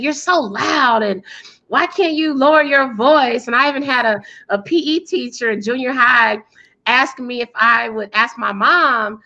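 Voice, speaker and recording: Bright, high-voiced American woman. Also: muffled recording. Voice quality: loud, powerful voice. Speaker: interview guest, PR coach and speaker. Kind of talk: conversational interview answers, self-introduction.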